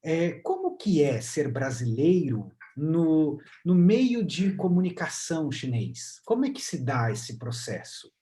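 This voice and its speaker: Interviewer, lively pitch, asking questions, video call. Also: slow pace, hardly any pauses.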